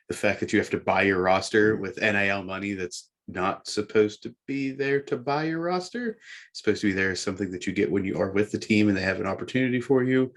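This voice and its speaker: A man with a fairly low voice speaks as a panelist on a sports talk show. He discusses and argues his points in a casual, conversational style.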